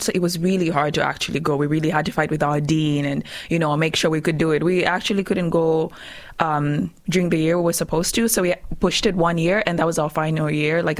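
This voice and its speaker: A young woman in her twenties from Zambia, chatting as a radio guest, laughing often and acting out her own past thoughts. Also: sits low. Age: 20s. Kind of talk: casual interview chat.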